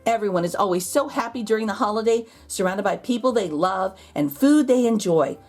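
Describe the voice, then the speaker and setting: A woman's voice: medium pitch, lively intonation, brisk, a little mellow. A woman host reading a children's storybook aloud expressively, drawing out key words for emphasis. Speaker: children's picture book read-aloud host.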